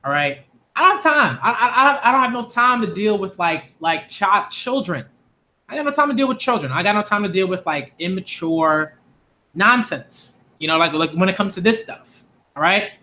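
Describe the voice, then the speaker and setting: A man's voice: high voice, lively intonation, normal speed, sharp tone. A man in his late twenties, a channel host talking straight to his viewers in an emphatic, repetitive, exasperated way. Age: 20s. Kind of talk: direct-to-camera rant.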